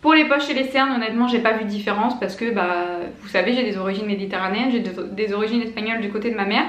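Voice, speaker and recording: A woman's voice: mid-range voice, fast talker, fairly bright tone, echoey room, a little hiss or hum. A woman in her late twenties chatting enthusiastically to camera as a beauty vlogger, with lots of fillers and restarts. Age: late 20s. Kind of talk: casual product review to camera.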